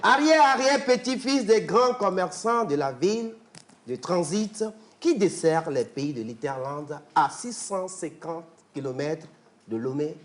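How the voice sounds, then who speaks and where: Un homme à l'accent togolais qui présente l'invité sur un ton joueur, en scandant des listes et en chantonnant. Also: male voice, fairly high pitch, lively intonation, a little slow. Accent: Togolese French. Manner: playful, rhythmic, chanting lists. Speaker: show regular presenting the guest. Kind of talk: lively praise-style guest presentation.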